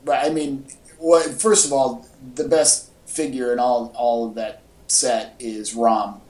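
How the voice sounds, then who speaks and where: Male podcast co-host, average pitch, informal and halting fan chatter, captured with noticeable room echo. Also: flat delivery, darkish tone. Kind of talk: casual chat about comics and movies. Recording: echoey, roomy podcast audio.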